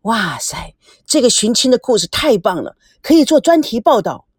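A woman voicing an eager young reporter who gushes excitedly in an audiobook. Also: on the high side, moderate pace, slightly rough, no room sound, clean studio sound. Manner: gushing, eager.

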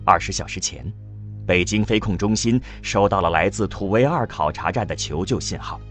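A man's voice: low-pitched, normal speed, soft background music. A man giving solemn scripted narration as the narrator of a science fiction radio drama.

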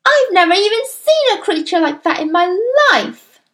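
Woman as wronged little schoolgirl, storybook, defiant, high-pitched, shrill screaming protest. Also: big pitch swings, normal speed, a bit bright, exaggerated voice. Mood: indignant, screaming. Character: indignant, defiant young schoolgirl, wrongly accused.

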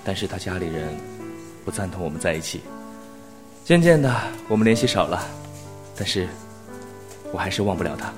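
A man playing a wistful, gentle young man with a reflective delivery in an audio drama. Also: low voice, lively intonation, moderate pace, pauses often. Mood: resigned longing. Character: wistful young man longing for a lost love. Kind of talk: Chinese audio drama acting. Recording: music behind the voice.

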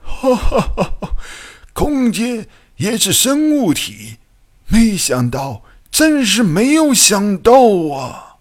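A man's voice: high-pitched, huge pitch swings, slow pace, pitched above the performer's own voice, exaggerated voice. A man voicing an eccentric, awestruck mad scientist in an audiobook, exclaiming gleefully. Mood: ecstatic amazement.

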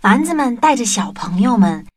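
A woman narrating a scripted children's story in an expressive way, as the presenter of an audio drama. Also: on the high side, normal speed, no room sound, soft background music. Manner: expressive, addressing young children.

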